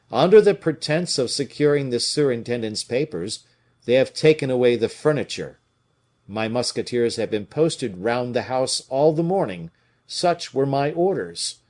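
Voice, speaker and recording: A man voicing an indignant, scornful veteran musketeer with a rough, declamatory delivery in an audiobook. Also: medium pitch, darkish tone. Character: fiery, indignant veteran musketeer, gruff old soldier. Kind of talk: dramatic audiobook character reading.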